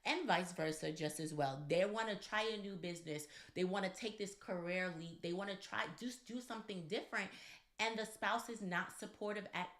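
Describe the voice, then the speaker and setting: A woman's voice: mid-range voice, normal speed, fairly bright tone. A woman co-hosting a relationship advice show, talking conversationally and emphatically, straight to the listeners. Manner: emphatic, addresses listeners directly. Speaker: podcast co-host. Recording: echoey, roomy sound.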